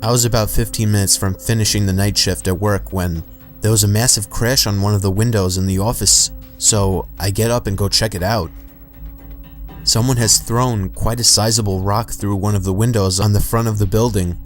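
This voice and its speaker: A man narrating true horror stories in a calm, steady, scripted way. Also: on the low side, moderate pace, soft background music.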